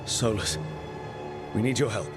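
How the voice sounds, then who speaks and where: A man's voice: lowish voice, measured pace, close to an everyday voice. A man playing a determined young fantasy hero in a video game, urgent, plain-spoken and under strain. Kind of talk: naturalistic video game acting. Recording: loud game music under the voice.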